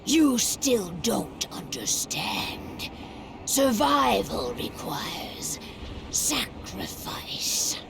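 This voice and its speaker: A woman playing a proud, solemn werewolf tribe leader in a video game cutscene, speaking gravely with breathy, half-whispered phrases. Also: mid-range voice, background noise, full character voice. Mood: grim, defiant.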